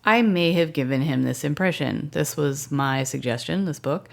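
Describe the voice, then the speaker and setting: Female co-host, low-pitched, tipsy, sarcastic and blunt in casual chat. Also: moderate pace, creaky. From Central California. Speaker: podcast co-host. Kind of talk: casual late-night podcast chat.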